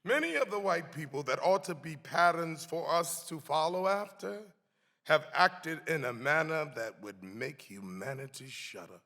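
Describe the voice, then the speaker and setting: Slow, dramatic man in a reverberant hall. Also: fairly high pitch, expressive, pushed and bright. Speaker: actor giving a dramatic reading. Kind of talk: theatrical dramatic reading of a historical text. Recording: echoey hall with long reverb.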